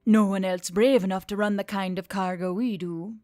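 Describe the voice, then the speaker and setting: A woman's voice: medium pitch, fairly even intonation, moderate pace, a little mellow, no echo, studio quality, natural voice. A woman voicing a witchy female Gorgon helicopter pilot with a smug, teasing manner in an audiobook story.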